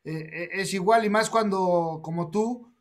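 A man's voice: high voice, measured pace. Attentive male host asking questions. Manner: attentive, frequent "ajá" backchannels, hesitant questions. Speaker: host, interviewer. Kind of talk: video call interview. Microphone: webcam mic on video call.

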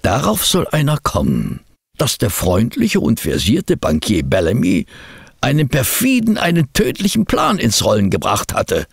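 An actor in a German audio drama playing a detective's loyal, concerned friend, an expressive, literate storyteller with a husky voice. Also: male voice, mid-range voice, moderate pace, dry recording, studio quality, soft background music, heavily acted. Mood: dramatic, explanatory. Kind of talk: scripted audio drama narration and acting.

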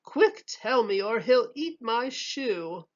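A woman voicing a fussy, flustered male headwaiter in a children's storybook, bossy and exclaiming in drawn-out words. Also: on the high side, lively intonation, dull audio. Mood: fussy, questioning.

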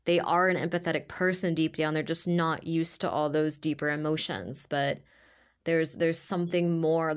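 A woman giving a casual tarot reading, with a stuffy, nasal voice from a sinus infection. She talks earnestly and rambles, full of "like" and restarts. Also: low voice. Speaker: tarot reader. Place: indoors at a table with cards on purple cloth.

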